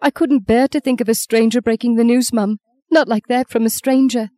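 A woman narrator plays a distraught grown daughter in a storybook drama. The voice is pushed high and loud with grief, close to sobbing, pleading and blaming herself. The sound is close and dry. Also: fairly even intonation, moderate pace, dry recording, lightly acted. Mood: tearful. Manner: pleading, emotional outbursts. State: anguished, tearful, sobbing. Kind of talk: dramatic audiobook character voice.

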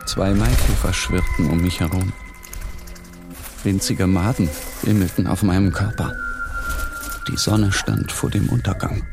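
A man's voice: deep voice, measured pace, creaky, long pauses. A man narrating a literary interior monologue in a dramatic scripted style. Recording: loud music over the voice.

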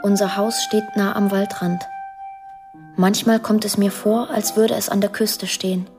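A woman playing a lonely, dreamy twelve-year-old girl in a quiet, poetic radio drama monologue. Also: mid-range voice, steady tone, measured pace, music in the background, natural voice.

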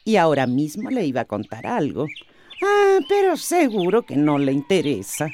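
A woman's voice: sits low, wildly swooping pitch, normal speed, darkish tone, slight background noise. A woman playing a chatty, nosy village gossip in a radio drama, teasing and confiding. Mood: gossipy, conspiratorial.

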